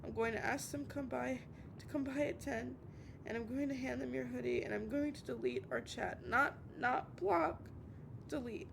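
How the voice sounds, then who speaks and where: A woman playing an obsessive, heartbroken young woman on a late-night call, stammering and repeating herself in a dramatic audio roleplay monologue. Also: vocal fry. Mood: resolute, nervous. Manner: halting, stammering, repeating words. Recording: noisy with low rumble.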